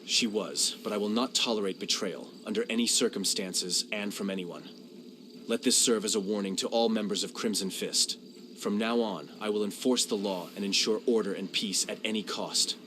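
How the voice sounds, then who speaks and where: A man voicing a stern, ruthless High Inquisitor, firm and cold. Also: moderate pace, noisy background, lightly acted.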